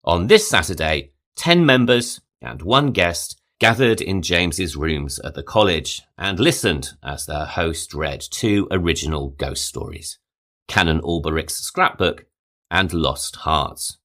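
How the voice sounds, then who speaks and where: Male podcast host, low-pitched, expressive scripted narration, bright and forceful tone. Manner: reads quotations aloud, measured and lively.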